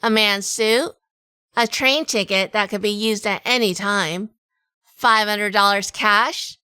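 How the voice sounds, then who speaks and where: A woman acting a tough, wary noir heroine who tells her own crime story in an audio drama. Her voice is bright and very clear, recorded close and dry. She delivers scripted narration with hard-boiled self-possession. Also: mid-range voice, slow pace, no echo. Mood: wary, cool.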